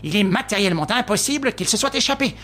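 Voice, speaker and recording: An audiobook narrator voicing a stubborn, excitable veteran police inspector who talks in agitated, insistent bursts. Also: medium pitch, fast talker, faint music behind, pitched above the performer's own voice, lightly acted. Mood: triumphant.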